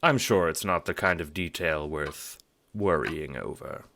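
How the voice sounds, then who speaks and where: A man voicing a calm, courteous, smugly sinister puppet-like host in an audio drama, speaking in a mannered, formal, condescending way. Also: extremely low pitch, unhurried, full character voice. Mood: smug musing. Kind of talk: theatrical audio drama narration.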